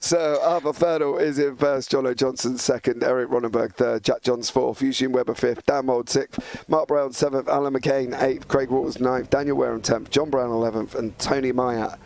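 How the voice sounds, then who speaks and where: A man with a croaky, flu-worn voice doing live commentary on a sim race, chatty and quick to run through the order. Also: mid-range voice, steady tone, darkish tone, no room sound. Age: adult with kids and wife. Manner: chatty, reads grid order briskly.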